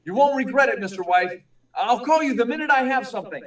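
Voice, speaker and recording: A man plays an earnest young reporter pressing his case in an old radio play. His voice is high for a man and urgently insistent, heard a little away from the mic in an echoey room. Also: animated, fast, far from the mic. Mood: eager, pressing. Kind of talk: 1940s radio drama acting.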